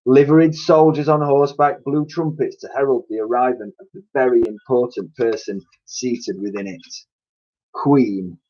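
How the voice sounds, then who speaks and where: A man's voice: medium pitch, normal speed, muffled recording. A man reading a children's storybook aloud expressively, like a teacher addressing his pupils.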